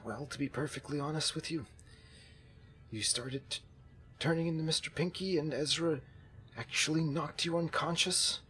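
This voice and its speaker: A man roleplaying a kindly, babbling zombie apocalypse survivor in an online game, speaking haltingly and earnestly. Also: fairly high pitch, bright, forceful tone, a little hiss or hum. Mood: earnest confession. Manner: halting, earnest, folksy, repeats himself.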